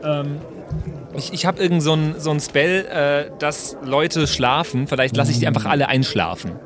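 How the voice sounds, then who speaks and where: Higher-pitched rambling guy playing a tabletop game. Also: normal speed, crowd noise. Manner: rambling, repeats words. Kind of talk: casual chat during an improvised game.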